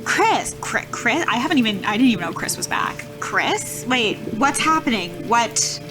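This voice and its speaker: A woman's voice: on the high side, very animated, normal speed, low-quality recording. A woman playing a tabletop roleplaying game, improvising casually and thinking aloud in questions. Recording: music clearly playing behind.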